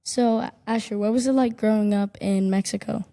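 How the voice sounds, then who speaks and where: A woman's voice: medium pitch, steady tone, normal speed, gravelly, mellow. A woman co-hosting a podcast, introducing a guest casually with a few hesitant ums. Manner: friendly, slightly hesitant with ums. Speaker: podcast co-host.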